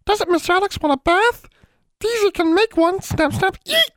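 A tiny, timid female house elf, as voiced by a man in a fantasy storybook. The voice is a very high, anxious squeak, servile and fussy, recorded close and dry. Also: moderate pace, fairly bright tone, no echo. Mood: horrified, fussing. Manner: speaks of self in third person, stammering.

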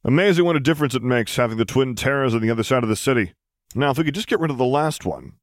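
A man's voice: medium pitch, expressive, very fast, no room sound, clean studio sound, voice pushed up, natural voice. A man voicing a roguish, sardonic father who grumbles and jokes in an audiobook. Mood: teasing.

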